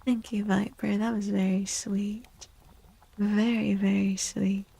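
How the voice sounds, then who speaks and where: Soft asmr streamer girl, woman w slow breathy whispery voice, bit creaky, super gentle chatting. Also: mid-range voice, flat delivery. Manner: gentle, soothing, repeats phrases softly. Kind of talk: soft-spoken ASMR livestream chat.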